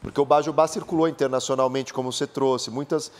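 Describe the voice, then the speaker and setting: A man moderates a talk on stage at a book fair. His voice sits at a typical middle pitch. He explains points in a steady, measured way and relays the audience's questions. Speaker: interviewer and columnist.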